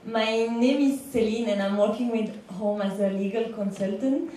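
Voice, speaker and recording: A woman's voice: on the high side, moderate pace, mellow. Belgian woman in an echoey hall. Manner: speaks with fillers and small restarts. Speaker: panelist, legal consultant. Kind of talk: panel talk. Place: large lecture hall. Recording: echoey lecture hall, far from mic.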